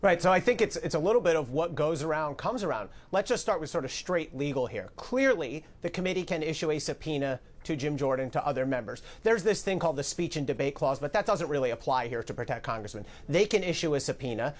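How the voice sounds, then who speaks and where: A man's voice: on the high side, animated, fast, few pauses. A man giving live legal analysis on a news show, explaining step by step with emphasis.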